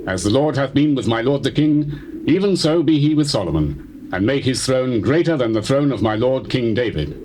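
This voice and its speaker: A man's voice: medium pitch, lively intonation, moderate pace, darkish tone, a little hiss or hum, close to an everyday voice. An actor playing a loyal, fervent servant of a king in a dramatized scripture reading.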